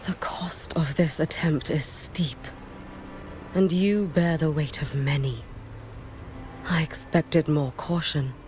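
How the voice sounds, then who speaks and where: Low, slow, solemn warrior woman. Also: darkish tone, slight background noise. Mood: grave, questioning. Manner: formal, measured, grave. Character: solemn, stoic female warrior and seal keeper. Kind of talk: scripted video game voice acting.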